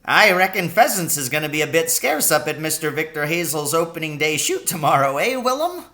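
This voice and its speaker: Man putting on a high-pitched old country cabbie. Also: sing-song, brisk, sharp tone, exaggerated voice. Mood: amused. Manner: rustic, colloquial, exclamatory. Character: chuckling old country taxi driver, sly poacher.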